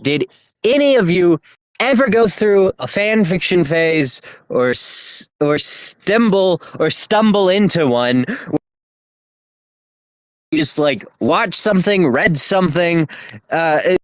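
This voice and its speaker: A man's voice: sits high, animated, takes it slowly, dry recording. A man co-hosting a casual podcast chat, talking in a laid-back, rambling way and laughing often. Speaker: podcast co-host.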